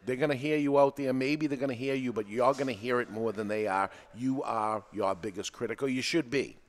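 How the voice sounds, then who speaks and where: A man's voice: mid-range voice, a little fast. A man with a New England accent hosting a live podcast in a studio, talking plainly and pushing each point with energy. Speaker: podcast host.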